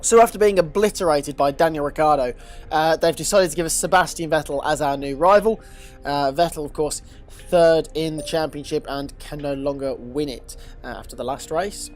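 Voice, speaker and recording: A man's voice: a little high, faint music behind. A young man with a blocked-up nose, hosting a gaming channel and giving eager racing game commentary. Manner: eager, rising to excitement on fast laps.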